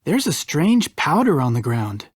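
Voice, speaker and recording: A woman's voice: sits low, very animated, unhurried, darkish tone, breathy edge, no room sound, clean studio sound, heavily acted. A woman playing a skeptical, no-nonsense investigator in a video game. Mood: suspicious, businesslike. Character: skeptical, practical female investigator, no-nonsense and wry.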